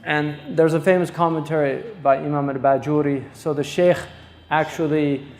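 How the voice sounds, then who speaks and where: A man hosting a lecture, introducing a guest scholar in unscripted, halting talk with many pauses and fillers. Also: fairly high pitch, steady tone, a little mellow. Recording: echoey hall, distant mic.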